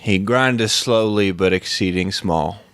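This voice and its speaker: A man's voice: fairly low pitch, unhurried, a bit bright, smooth, no room sound, studio quality. Man doing a nasal, smug young zealot. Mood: sanctimonious. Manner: pompous, sanctimonious. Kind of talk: literary audio story reading.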